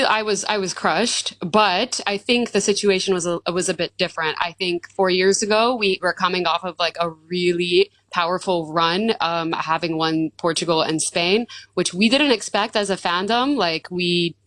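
A woman chats as a guest fan in an interview, her voice hoarse and a little low. She talks casually, and the sound is dry and close. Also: fairly bright tone, no room sound. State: hoarse from screaming. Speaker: football fan and community organiser guest. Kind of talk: casual live interview talk.

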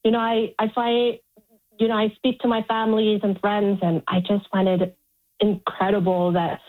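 Over a muffled, narrow phone line, a middle-aged woman gives her views to a radio show. She is frank and emphatic, speaking off the cuff and repeating words to press a point. Her pitch sits in an ordinary range for a woman. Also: little pitch movement, moderate pace. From Montreal, Canada. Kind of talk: spontaneous opinionated phone-in talk.

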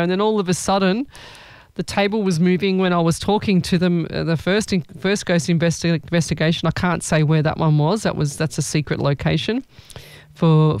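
A woman's voice: on the low side, darkish tone, clean tone, no echo. A woman hosting a casual live chat as a psychic medium, talking in an informal, exclaiming way.

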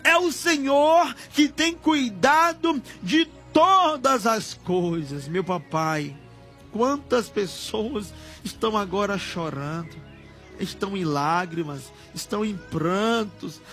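A man's voice: high voice, takes it slowly, fairly bright tone. A Brazilian man preaching in fervent, improvised prayer, pleading emotionally and often swelling into cries. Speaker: preacher leading prayer on a broadcast. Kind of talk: fervent extemporaneous Pentecostal prayer. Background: background music.

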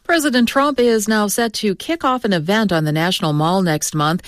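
A woman newscaster reading the hourly news in a crisp, even news read. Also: medium pitch, moderate pace, no echo, clean studio sound. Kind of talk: scripted newscast.

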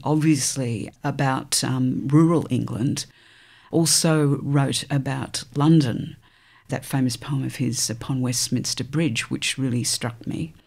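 An older woman with an Australian accent, a poet answering interview questions in a thoughtful, measured way, pausing between phrases. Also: deep voice, fairly even intonation, a little mellow. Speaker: poet guest.

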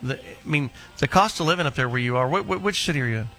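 A man's voice: medium pitch, lively intonation, racing, pushed and bright, soft background music. A man hosting a call-in radio show, blunt and teasing with a caller. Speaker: radio host.

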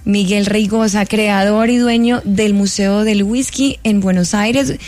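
A woman's voice: mid-range voice, steady tone, a little slow, a little mellow, soft background music. A woman with a Colombian accent, hosting and interviewing in a conversational broadcast style. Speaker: show host, interviewer.

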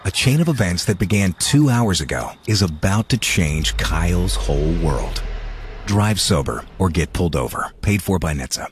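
Very deep grave male narrator. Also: expressive, normal speed, a little hiss or hum. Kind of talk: scripted public service narration.

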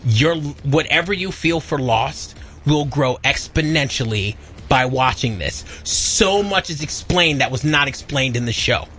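A man's voice: mid-range voice, expressive, unhurried, sharp tone, a little hiss or hum. A man co-hosting a radio show, riffing at length with chatty, opinionated banter. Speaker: radio co-host.

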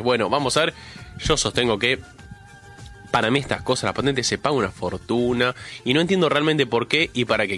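A man's voice: medium pitch, bright, forceful tone. A male radio host with a Rioplatense Spanish accent, opening the show with a chatty monologue while congested with a cold, drawing out words for emphasis. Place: radio studio.

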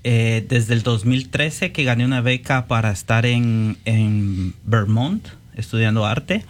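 A man's voice: mid-range voice, unhurried. A man with a Guatemalan accent, speaking conversationally as a guest painter introducing himself on a panel.

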